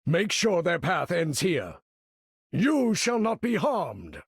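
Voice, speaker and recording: An older, imperious supervillain for a video game. His voice is gravelly and husky, swinging dramatically as he issues grand, formal battle commands with pompous weight. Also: sits high, huge pitch swings, slow, no echo, studio quality, heavily acted. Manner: pompous, theatrical, formal diction.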